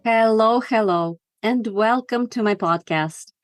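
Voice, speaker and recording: A woman's voice: a little high, slow, faint music behind. A woman hosting a podcast, reading scripted narration. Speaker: podcast host.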